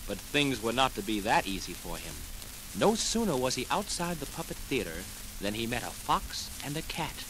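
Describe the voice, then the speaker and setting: A man narrating a children's story dramatically, with clear enunciation, in a 1940s record style. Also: medium pitch, sing-song, normal speed, background noise. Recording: old 78 rpm record with music.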